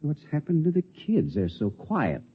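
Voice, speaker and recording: An actor playing a bewildered, sensible suburban husband in a 1950s radio drama, on a tinny, noisy old recording. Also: male voice, on the high side, huge pitch swings, moderate pace. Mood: easygoing, wry.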